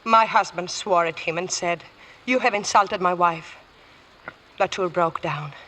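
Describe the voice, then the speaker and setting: A woman playing a composed, enigmatic young foreign widow on trial in a vintage radio drama, answering in measured, formal phrases. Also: fairly low pitch, a bit bright. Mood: guarded. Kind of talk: 1940s-50s radio courtroom drama acting. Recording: faintly noisy vintage radio recording.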